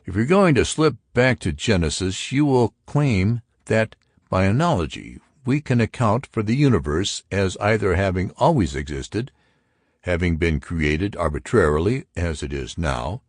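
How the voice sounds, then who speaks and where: A man's voice: low voice, lively intonation, measured pace, no echo, studio quality. Man reading as pointed, skeptical purist. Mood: impatient, challenging. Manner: pointed, challenging. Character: skeptical purist man challenging a friend's theories. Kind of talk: audiobook character dialogue.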